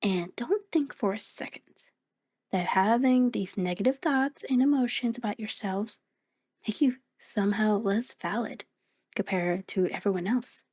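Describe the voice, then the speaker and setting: A woman voicing a kind, playful mirror reflection in an ASMR roleplay, speaking gently and encouragingly with hesitant pauses. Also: medium pitch, slightly rough. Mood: tender, reassuring. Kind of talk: ASMR roleplay, addressing the listener.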